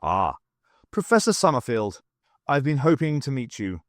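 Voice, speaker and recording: A man voicing an older male professor in an audiobook, rich and cultured, suave, deliberate and coolly charming. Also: mid-range voice, big pitch swings, no room sound, clean studio sound, lightly acted. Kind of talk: audiobook character voice.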